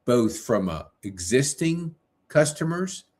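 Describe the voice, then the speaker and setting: A man hosting a casual interview, rephrasing his questions in a halting, emphatic way. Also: mid-range voice, big pitch swings, darkish tone, slightly rough. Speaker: interview host.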